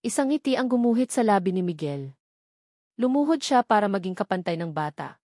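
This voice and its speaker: A woman's voice: medium pitch, big pitch swings, brisk, darkish tone, no echo, studio quality. A woman giving scripted, dramatic narration of a story as its narrator.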